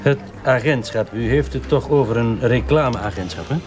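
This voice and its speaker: An actor playing a calm, wry, loyal Flemish police inspector in a radio drama, measured and polite with dry teasing. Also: male voice, medium pitch, over music, close to an everyday voice. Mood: mildly questioning. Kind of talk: naturalistic radio drama acting. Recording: slightly distant, clear background noise.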